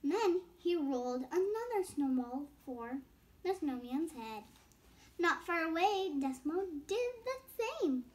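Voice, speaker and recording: High-pitched young girl reading a storybook. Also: big pitch swings, takes it slowly, a little mellow. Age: child. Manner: stumbles over words and rereads phrases. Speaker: host reading a bedtime story. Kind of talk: read-aloud storybook narration with casual asides.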